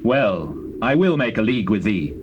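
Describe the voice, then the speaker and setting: A man's voice: lowish voice, sing-song, moderate pace, dull audio. A man playing a grave, commanding king in a dramatized Bible reading, with weighty, declamatory delivery. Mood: stern. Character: King David, solemn, grave, commanding male monarch. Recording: noisy old cassette tape transfer.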